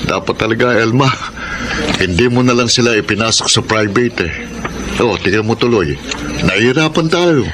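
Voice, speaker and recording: A man's voice: medium pitch, animated, moderate pace, natural voice. A man playing a kind, honest, middle-aged taxi driver and family man in a radio drama, with a Filipino accent. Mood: worried, exasperated. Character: hard-pressed, kind, honest taxi-driver father and husband. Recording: clear background noise and ambience.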